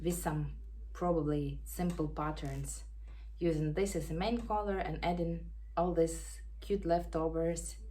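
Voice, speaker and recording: A woman with a Ukrainian accent hosting a knitting podcast from home, chatting casually and pausing to search for words in English. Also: lowish voice, darkish tone. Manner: unhurried, searching for words, non-native grammar. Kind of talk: casual show-and-tell chat. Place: home in Denmark. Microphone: camera.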